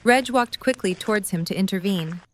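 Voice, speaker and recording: A woman's voice: medium pitch, normal speed. A woman reading scripted story narration as the narrator of an audio drama.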